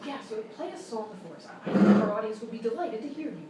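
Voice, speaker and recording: A woman playing an earnest, courteous radio interviewer in a live comedy sketch, speaking in a formal, measured way. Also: on the high side, animated, close to an everyday voice. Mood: polite, earnest. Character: polite, earnest female radio interviewer, increasingly flustered. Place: live show at a hotel venue. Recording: echoey, noisy live room, distant mic.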